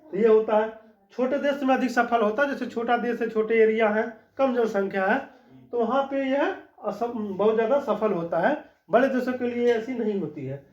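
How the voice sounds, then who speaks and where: A high-pitched man lectures on law, going over each point twice and checking in with his listeners as he goes. The recording is dull and lo-fi, and his voice rings in an echoey room. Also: moderate pace. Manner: explanatory, repeats key points, frequent "ठीक" check-ins. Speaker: law teacher. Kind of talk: classroom-style lecture.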